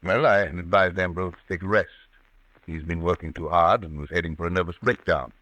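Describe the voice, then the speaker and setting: Deep, bright-voiced assured male doctor. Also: fairly even intonation, brisk, no room sound, muffled recording. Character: assured doctor, loyal friend of a troubled patient. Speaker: radio actor. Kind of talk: old-time radio drama acting.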